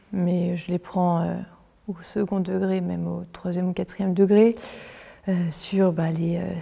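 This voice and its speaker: Mellow-voiced twenties woman, lots of uh pauses, reverby room. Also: lowish voice, creaky. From France. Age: 20s. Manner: hesitant, many pauses and fillers. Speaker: interviewee. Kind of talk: casual conversation.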